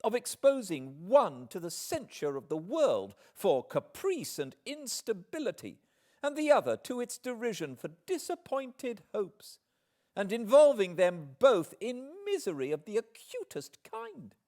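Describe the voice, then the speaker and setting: A man voicing a spirited, indignant young English gentlewoman with an emphatic, articulate, accusing delivery in an audiobook. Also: sits high, huge pitch swings, a little slow, voice pushed up, exaggerated voice. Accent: British.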